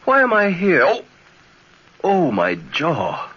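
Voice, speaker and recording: On a dull vintage radio recording, a dazed young lieutenant. His voice is fairly high and husky, exclaiming in boyish, lovestruck bewilderment. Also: huge pitch swings, takes it slowly, bright, forceful tone, exaggerated voice. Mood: bewildered. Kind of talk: 1940s radio drama acting.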